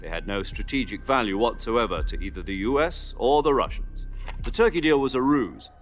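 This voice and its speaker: An actor playing a seasoned male spy commander, giving a mission briefing in measured, deliberate phrases as in a dramatic video game. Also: mid-range voice, animated, faint music behind, lightly acted. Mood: grave, explanatory.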